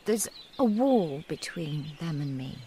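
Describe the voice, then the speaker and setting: A woman's voice: low voice, expressive, slow pace, dark tone, a little hiss or hum. An actress playing an earnest, wistful young married woman in a radio drama. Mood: wistful, reflective. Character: wistful, earnest young married Englishwoman among convent nuns.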